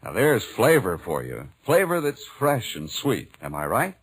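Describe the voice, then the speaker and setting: Husky, animated old-time radio announcer man. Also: on the low side, unhurried. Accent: American. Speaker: radio announcer and sponsor pitchman. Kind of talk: 1940s radio ad and scripted narration. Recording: old radio broadcast, faint music behind.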